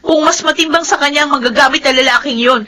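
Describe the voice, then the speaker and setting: A woman's voice: high-pitched, quick pace. A young woman with a Filipino accent playing a headstrong, wounded grown daughter in an emotional radio drama. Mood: bitter anger. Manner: sharp, emotional outbursts. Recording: radio drama with music underneath.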